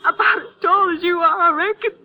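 A woman's voice: very high-pitched, steady tone, a little fast, exaggerated voice. An actress playing a distraught young small-town woman, crying and plaintive, in a 1950s western radio drama. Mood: grief-stricken. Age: young adult. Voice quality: tearful, sharp. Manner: plaintive, folksy, breaking up.

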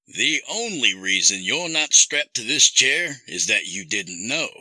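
A man plays a jilted husband turned villain in a horror tale. The voice is raised and swooping, with a taunting, coldly amused calm that promises cruelty. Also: on the low side, unhurried, dry recording, voice pushed up, exaggerated voice, low-quality recording. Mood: mocking, amused. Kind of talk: audio horror story character acting.

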